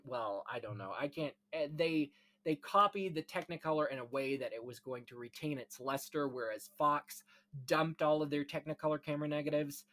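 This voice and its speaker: A man's voice: a little high, fairly bright tone. A man hosting a casual discussion of film history, explaining things in rambling, enthusiastic detail. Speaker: podcast host.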